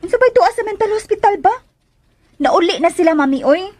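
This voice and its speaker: An actress playing a restless, spoiled daughter who whines and exclaims in a radio drama. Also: female voice, very high-pitched, lively intonation, brisk, darkish tone, a little hiss or hum. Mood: whiny, impatient. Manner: whining, exclaiming.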